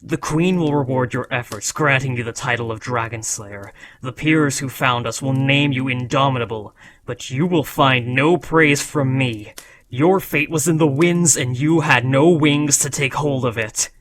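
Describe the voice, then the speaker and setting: A man's voice: medium pitch, a little slow, a crowd in the background, natural voice, low-quality recording. A man voicing an ancient, proud dragon who scolds a beloved rider in a solemn, formal, dramatic fantasy roleplay. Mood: angry scolding.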